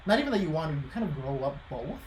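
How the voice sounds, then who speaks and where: A woman's voice: low-pitched, big pitch swings, racing, a little mellow. A young woman from the United States chatting casually, explaining things in a reflective way. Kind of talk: casual chat. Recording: roomy echo, faint background noise.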